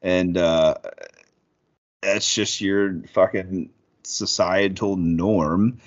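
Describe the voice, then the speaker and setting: A man's voice: low-pitched, steady tone, drawn-out words, dull audio. A man in his thirties co-hosting a podcast, talking casually with lots of fillers and swearing. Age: 30s. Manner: rambling, profane, lots of fillers. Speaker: podcast co-host.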